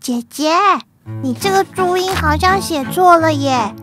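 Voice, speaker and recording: A woman's voice: extremely high pitch, measured pace, music in the background. A woman voicing a chirpy, helpful little sister with a childlike sing-song manner in a radio drama. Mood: helpful, cheeky. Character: bright, chirpy little sister, a young girl.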